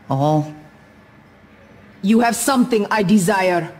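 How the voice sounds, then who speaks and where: An imperious alien warlord. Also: female voice, medium pitch, lively intonation, takes it slowly, a little hiss or hum. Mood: menacing. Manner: haughty, commanding. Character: cold imperious alien warrior woman, villain.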